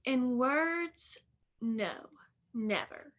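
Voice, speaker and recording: Woman playing a high-voiced, sorrowful young fiancée. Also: expressive, drawn-out words, fairly bright tone, exaggerated voice. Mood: sad, reproachful. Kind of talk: radio play acting.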